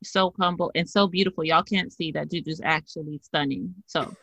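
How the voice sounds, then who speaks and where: A woman's voice: sits low, fairly even intonation, moderate pace, vocal fry, fairly bright tone. A woman podcast host, affirming her guest and speaking with preacherly repetition. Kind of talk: casual chat.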